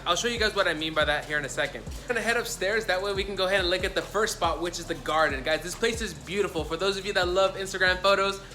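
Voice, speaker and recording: A man vlogging a walking tour of a hostel, chatty and energetic, often calling out to viewers as guys. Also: sits high. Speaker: travel vlogger. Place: hostel, moving between rooms.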